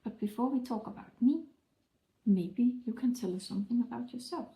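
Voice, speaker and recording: A woman from Denmark speaks, her tone very dark and mellow. She explains herself in a friendly, earnest manner. Her voice carries the echo of a living room. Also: fairly high pitch, a little fast, gravelly. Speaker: fantasy writer. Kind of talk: scripted conversation. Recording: echoey room.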